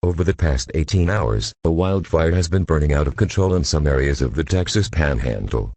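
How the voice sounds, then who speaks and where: An extremely deep-voiced local news anchor, acted by a man, serious and formal. His voice is husky and rough-edged, recorded dry. He delivers a scripted report soberly. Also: lively intonation, a little fast, darkish tone, no room sound.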